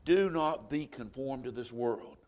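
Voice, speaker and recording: A man's voice: mid-range voice, a little slow, darkish tone. A middle-aged man from Florida with a Southern accent, preaching a sermon in a conversational way, often saying "you know.". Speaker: pastor.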